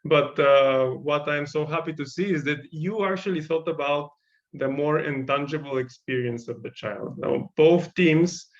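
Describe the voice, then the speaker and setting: A man with a Bulgarian accent, whose first language is Bulgarian, teaching a workshop and giving encouraging, thoughtful feedback on student designs while often pausing to search for words. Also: a little high, muffled recording. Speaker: workshop instructor.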